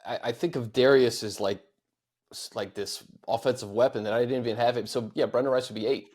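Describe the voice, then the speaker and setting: A man with a medium-pitched voice hosts a sports show. He speaks in a casual, conversational way as he takes fan questions and talks them over. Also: fairly even intonation, a little fast, a little mellow.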